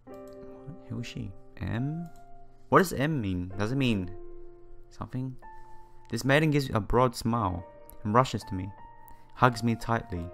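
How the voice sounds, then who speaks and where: A man reading visual novel text aloud as a let's player, adding his own asides in halting phrasing. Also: mid-range voice, expressive, vocal fry, a bit bright. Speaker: video game let's player. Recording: music behind the voice. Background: game music.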